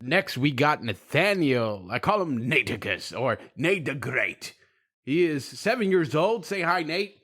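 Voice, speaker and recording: Animated dad hosting, swooping pitch. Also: male voice, unhurried. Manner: animated, repeats answers back. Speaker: podcast host, father interviewing his young sons. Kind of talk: casual family chat.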